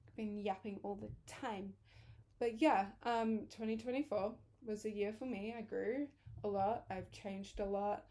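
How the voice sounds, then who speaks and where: Young woman, early twenties, casual vlog chat, slightly hoarse, rambling and self-correcting. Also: mid-range voice, steady tone, a little slow, dark tone. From England. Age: early 20s. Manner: chatty, rambling, corrects herself. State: hoarse, losing her voice. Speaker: vlogger.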